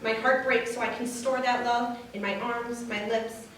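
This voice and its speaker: A woman with a fairly high voice gives a staged romantic reading. The sound is very reverberant and distant from the microphone. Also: flat delivery, moderate pace, a bit bright. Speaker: wedding reader. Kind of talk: reading love letters aloud, reader's theater. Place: large echoey room at a wedding. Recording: echoey hall, distant mic, faint noise.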